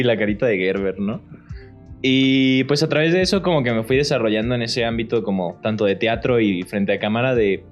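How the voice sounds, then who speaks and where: A Mexican man with a fairly low voice talks as a guest actor on a podcast. His delivery is casual and slangy, with frequent tag questions. Faint music plays behind him. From Puerto Vallarta, Mexico. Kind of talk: casual chat.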